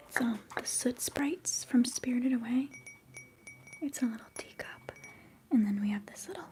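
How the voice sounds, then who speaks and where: A young woman whispering softly and chattily during a live ASMR stream, giggling now and then. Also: high voice, a little hiss or hum. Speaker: livestream host.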